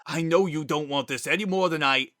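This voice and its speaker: A man performs an informal, slangy wisecracker in a dramatic audiobook story, his voice bright and forward. He is a grieving, desperate sort of guy, raw with feeling under the easy talk. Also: fairly high pitch, fairly quick, dry recording, studio quality, pitched above the performer's own voice, close to an everyday voice. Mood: desperate, pleading. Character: Sans, a wisecracking man pleading desperately, worn down by grief.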